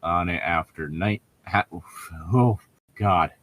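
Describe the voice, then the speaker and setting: A man's voice: sits low, slow, a bit bright. A man co-hosting a casual read-aloud of fan fiction, breaking in with irreverent, sweary, joking commentary.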